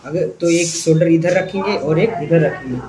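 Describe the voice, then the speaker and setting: A man's voice: a little high, moderate pace. A man with an Indian accent giving an informal lecture as an instructor, explaining step by step with repetition and rhetorical questions. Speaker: physiotherapy instructor and channel host. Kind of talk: informal spoken lecture to viewers. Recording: echoey room, clear background noise.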